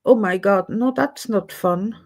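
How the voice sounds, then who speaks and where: Slow-talking woman chatting. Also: medium pitch, darkish tone. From Switzerland. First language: French. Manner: rambling, chatty, often loses her thread. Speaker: live stream host. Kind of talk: casual live chat with viewers. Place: home, in Edinburgh.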